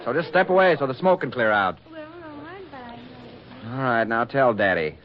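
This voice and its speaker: An actor playing a brash, sardonic reporter full of wisecracks in a 1940s radio drama. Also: male voice, mid-range voice, sing-song, moderate pace, natural voice. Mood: brisk, taking charge. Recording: muffled 1940s radio recording, crowd behind.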